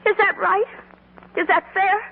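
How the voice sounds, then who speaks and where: A woman's voice: extremely high pitch, fairly even intonation, a little slow, narrow, tinny audio. An actress playing an earnest, big-hearted young shopgirl in a 1940s radio drama, on an old broadcast recording. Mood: impassioned, pleading.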